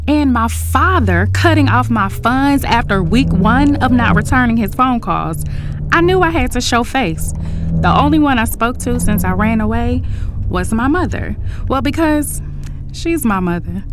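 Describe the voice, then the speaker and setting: A woman's voice: mid-range voice, lightly acted. Breezy runaway bride over music, acted by a woman. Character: carefree runaway bride, billionaire's daughter, young and breezy. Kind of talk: first-person audio drama narration and dialogue.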